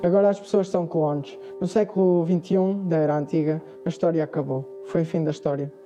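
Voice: mid-range voice, steady tone, a little fast, dark tone, soft background music, close to an everyday voice. A performer with a European Portuguese accent playing a solemn narrator of a dystopian future in a radio drama. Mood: grave.

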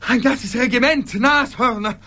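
A man's voice: extremely high pitch, normal speed, background noise. An actor in a radio drama playing an anxious, passionate ordinary man with an agitated, insistent, pleading manner. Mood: agitated, indignant.

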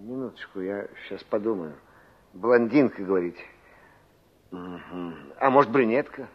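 A man's voice: lowish voice, unhurried. A man playing a middle-aged village barkeeper in a 1960s radio drama, chatty and courteous, musing and repeating words as he recalls. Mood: pondering. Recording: dull, band-limited old radio recording.

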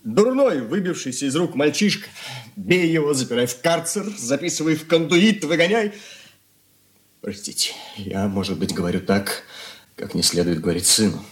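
An actor playing a troubled, ashamed young son in an earnest radio drama confession. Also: male voice, mid-range voice, expressive, moderate pace, natural voice. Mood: bitter, then ashamed, pleading. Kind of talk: Soviet radio play acting.

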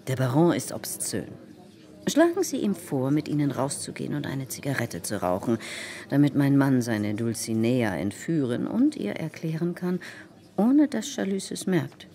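An actress playing an imperious, scornful older society hostess in a radio play, conspiratorial and dramatic in manner. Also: female voice, extremely low pitch, big pitch swings, moderate pace, full character voice. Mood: conspiratorial, indignant. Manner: conspiratorial, commanding, dramatic, sinking into hushed endings. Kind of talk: German radio play acting.

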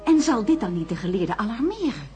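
A woman's voice: fairly high pitch, very animated, fast talker, over music. An actress playing an inquisitive, practical woman among scientists in a Dutch radio drama, questioning and articulate.

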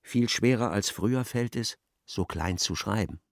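A man's voice: on the low side, measured pace, dry recording, studio quality. A man acting as the detached narrator of a grim literary radio drama, telling it in a matter-of-fact way.

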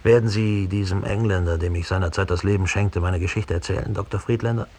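An actor playing a cold, embittered middle-aged German killer who slowly and broodingly confesses in a 1960s radio play. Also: male voice, low-pitched, fairly even intonation, a little mellow, breathy edge. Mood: bitter, weary. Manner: slow, deliberate, brooding storytelling.

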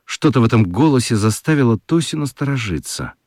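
A man narrating a children's radio play in an expressive storytelling style. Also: medium pitch, brisk, no echo, clean studio sound.

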